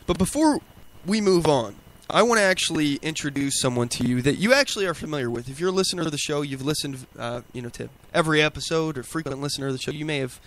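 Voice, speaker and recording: A sick man hosts a casual talk podcast, his voice worn as it starts to give out. He speaks in halting starts and often repeats words. His voice sits slightly high for a man. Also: expressive, a bit bright, poor audio. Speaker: podcast host. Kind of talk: casual political talk show.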